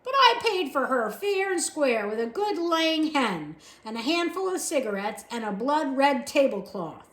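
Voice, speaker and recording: A woman's voice: sits high, very animated, a little slow, few pauses, fairly bright tone, pitched above the performer's own voice, exaggerated voice. A woman voicing a poor, bearded man who is indignant and outraged, recorded in an echoey room.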